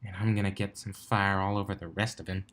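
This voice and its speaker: A man's voice: sits low, little pitch movement, quick pace, a bit bright. A man plays a pony mare who captains a flying team in a story. She is bossy and wry, with a dry, teasing delivery.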